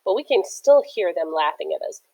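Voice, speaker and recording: A woman reading a children's book aloud as a teacher, in an expressive, theatrical way. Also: lowish voice, brisk, creaky, rough recording.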